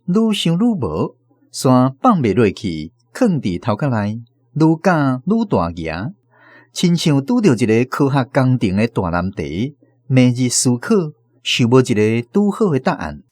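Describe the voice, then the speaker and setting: A man's voice: medium pitch, huge pitch swings, measured pace, darkish tone. A man with a Taiwanese accent reading scripted story narration for a radio drama.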